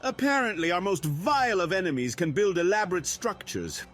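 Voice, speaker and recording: A man's voice: high voice, sing-song, fairly quick, a bit bright, exaggerated voice. Krupa, a man doing a grandiloquent merchant. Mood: wry. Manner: grandiloquent, speaks of himself in third person. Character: pompous flowery male merchant Krupa. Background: music.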